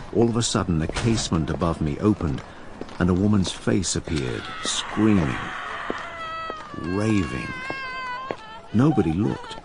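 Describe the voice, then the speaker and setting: A wry writer narrating a radio play, low and gravelly. His delivery swings expressively between musing asides and grim account, with noticeable background noise. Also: exaggerated voice. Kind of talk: dramatised first-person narration.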